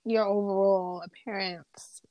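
A woman, playful and easygoing, sometimes stretching out a word. Her pitch sits in the middle. She is recorded close and dry. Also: steady tone, a little slow, no echo, dull audio. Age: 20s. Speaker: podcast co-host. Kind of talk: casual chat.

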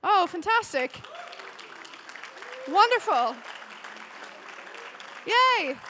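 A woman's voice: very high voice, very animated, extremely slow, a faint crowd, a little hiss or hum. A woman in her forties, a worship director, giving a chatty and emotional welcome to a church retreat in a large hall. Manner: warm, chatty and emotional, with bursts of cheering. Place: large hall with a live audience. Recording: long hall reverb.

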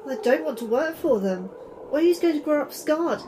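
A woman's voice: high-pitched, expressive, moderate pace, noisy background. A woman playing an anxious, conscientious young science officer in an audio drama, confiding and hesitant as she speaks. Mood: worried.

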